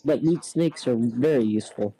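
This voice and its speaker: A man's voice: medium pitch, normal speed, mellow, rough recording. A man co-hosting a casual reaction chat, making brief, matter-of-fact remarks. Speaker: reaction video co-host.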